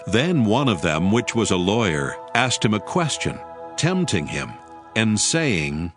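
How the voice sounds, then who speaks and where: A man narrating scripture from a script, with formal, measured phrasing. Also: lowish voice, big pitch swings, over music.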